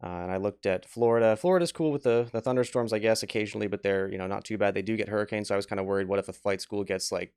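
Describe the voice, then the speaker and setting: American male interview guest, casual delivery, lowish pitch with some vocal fry. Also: rapid-fire, hardly any pauses, darkish tone. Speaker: flight instructor, interview guest. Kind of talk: casual conversational interview answers.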